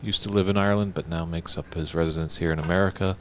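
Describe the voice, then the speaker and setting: A man's voice: deep voice, steady tone, quick pace, a little hiss or hum. A man commentating a snooker tournament in a chatty, informative way.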